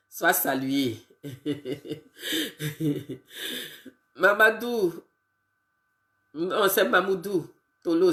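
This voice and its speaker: A woman who has a bad cold, greeting her viewers one by one on a casual live video and talking in a halting way with many fillers. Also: lowish voice, reverberant. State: congested with a cold, sniffly and tired. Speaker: political live streamer.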